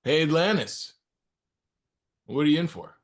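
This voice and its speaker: A man's voice: fairly high pitch, lively intonation, a little slow. A man playing a pleading, slangy slacker ski bum in his twenties, in a comedic table read. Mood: easygoing. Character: laid-back, pleading young ski bum, male, twenties.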